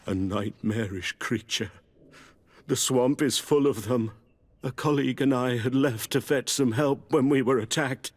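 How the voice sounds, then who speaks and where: Game survivor: actor plays shaken wounded male scholar, halting, frightened, mid-pitched. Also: lightly acted. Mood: dazed, frightened. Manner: halting, stammering. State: weak, shaken. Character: shaken wounded researcher priest, frightened and urgent. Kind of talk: video game dialogue acting.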